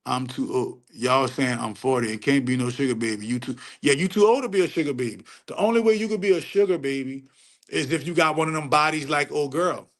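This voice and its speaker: A man's voice: medium pitch, a little fast, fairly bright tone. Profane preachy man ranting on a stream. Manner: preachy, profane, repeats phrases for emphasis. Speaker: livestream host answering chat. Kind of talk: casual unfiltered livestream rant.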